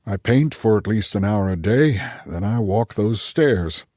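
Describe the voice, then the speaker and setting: A man's voice: on the low side, expressive, a little slow, dark tone, dry recording, studio quality. A man voicing a cheerful, talkative man of nearly ninety with a wry, genial manner, in an audiobook. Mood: genial, welcoming. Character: spry, cheerful old man near ninety, amateur painter.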